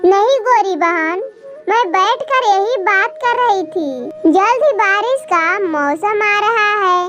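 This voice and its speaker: A woman's voice: very high voice, animated, slow pace, fairly bright tone, pitched above the performer's own voice, heavily acted. A woman voicing small, earnest forest birds in a children's cartoon story, in a dull recording with music behind. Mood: chatty.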